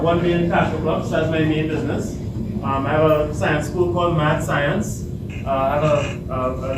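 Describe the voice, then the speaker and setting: Loud Trinidadian man in an echoey hall. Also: fairly high pitch. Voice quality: big voice. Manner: loud, unamplified, asks the audience questions. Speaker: speaker at a student workshop. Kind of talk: motivational talk to students. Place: large echoey hall, no microphone. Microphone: far camera. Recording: echoey hall, distant camera, audible noise.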